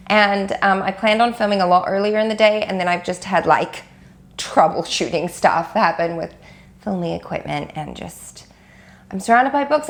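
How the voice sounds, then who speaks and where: Husky, nasal woman chatting to camera. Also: medium pitch. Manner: chatty, rambling, frequent ums and restarts. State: recovering from a cold. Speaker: homeschool vlogger. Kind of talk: casual chat to camera. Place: warm room at home full of books.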